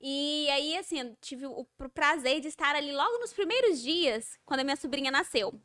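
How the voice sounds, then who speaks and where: A woman's voice: high voice, lively intonation, fairly quick, pushed and bright. A woman from Minas Gerais with a Mineiro Brazilian accent, a guest educator explaining patiently with everyday examples in a casual interview. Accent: Mineiro Brazilian Portuguese.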